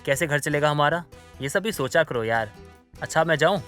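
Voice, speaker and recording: A man playing a placating, smooth-talking young husband in a story drama. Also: a little high, animated, normal speed, a bit bright, faint music behind. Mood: coaxing. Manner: coaxing, placating. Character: smooth-talking, two-timing young husband full of excuses. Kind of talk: Hindi moral-story audio drama acting.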